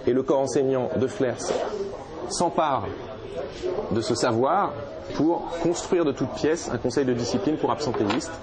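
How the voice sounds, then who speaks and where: A man's voice: medium pitch, unhurried, over a noisy crowd. A man giving political commentary in a documentary interview, hesitant with many fillers and restarts.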